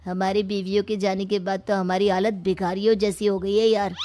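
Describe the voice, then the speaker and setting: A woman's voice: medium pitch, level tone, brisk, warm tone, slight background noise, close to an everyday voice. A woman voicing a lazy, food-loving young son-in-law in a comic moral story, breezy and wheedling. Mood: grumbling, eager. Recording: music behind the voice.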